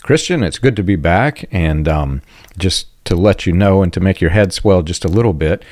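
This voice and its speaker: A very low-pitched man hosts a podcast in a casual, reflective tone, pausing to clear his throat now and then. The recording is dry and close, with no room sound around him. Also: expressive, a little mellow, no echo. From United States. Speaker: podcast host.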